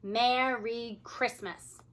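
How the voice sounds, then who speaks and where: A woman's voice: on the high side, fairly even intonation, drawn-out words, fairly bright tone. A woman voicing a weary, sardonic older male teacher in a storybook, with emphatic delivery. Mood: bitter.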